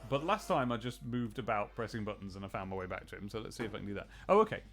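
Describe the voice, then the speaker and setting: A man's voice: fairly low pitch, expressive, very fast, creaky, fairly bright tone, soft background music. A man streaming a video game late in the day, sleepy but chatty, laughing and retelling scenes from old TV. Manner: chatty, laughing, tells anecdotes with put-on quotes. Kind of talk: casual live-stream chat.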